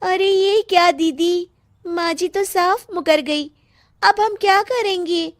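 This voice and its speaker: A woman plays a conniving young daughter-in-law in a family story. The voice is extremely high and girlish, set close and dry. She delivers her lines with sweet insolence. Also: takes it slowly, dry recording. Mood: anxious.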